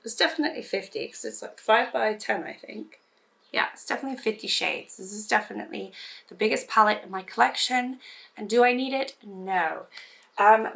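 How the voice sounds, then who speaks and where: Hoarse woman chatting through her makeup. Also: mid-range voice, a bit bright. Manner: rambling, self-deprecating, many fillers and restarts. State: recovering from lost voice, hoarse. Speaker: beauty vlogger. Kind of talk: casual get-ready-with-me chat.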